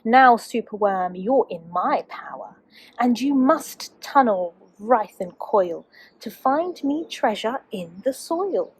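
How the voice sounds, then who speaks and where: A woman's voice: on the high side, animated, takes it slowly, breathy edge, full character voice. A woman voicing a sinister, bossy male wizard lizard in a storybook. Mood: sinister, commanding.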